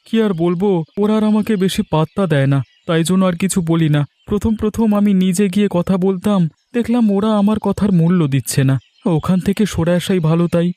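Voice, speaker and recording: Man voicing a high, soft-toned village farmer. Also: a little fast, dry recording, lightly acted. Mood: resigned. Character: mild village farmer and husband, resigned, friendly. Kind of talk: Bengali cartoon story voice acting.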